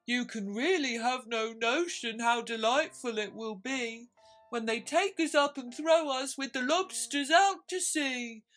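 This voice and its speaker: A woman voicing a mournful turtle creature who performs a slow, lilting verse from a storybook. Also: fairly high pitch, a bit bright, soft background music, pitched above the performer's own voice. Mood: sad, lilting.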